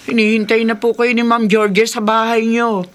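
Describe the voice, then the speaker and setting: A woman's voice: a little high, flat delivery, normal speed, a little hiss or hum, close to an everyday voice. An actress playing a worried, superstitious village mother who speaks respectfully and gives ominous warnings in a Filipino radio drama. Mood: urgent.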